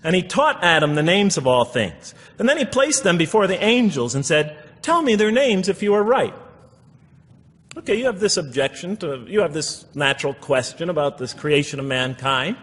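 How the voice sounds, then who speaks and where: A man's voice: on the high side, sing-song, moderate pace, fairly bright tone. A man giving a public lecture explaining scripture, emphatic and repeating phrases for stress, in a large hall. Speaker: religious lecturer. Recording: echoey hall with long reverb.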